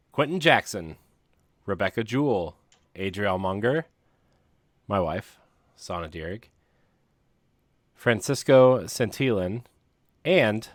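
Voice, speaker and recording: A man co-hosting a podcast in casual chat, talking in a rambling, halting, self-deprecating way. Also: deep voice, no room sound, studio quality. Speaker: podcast co-host.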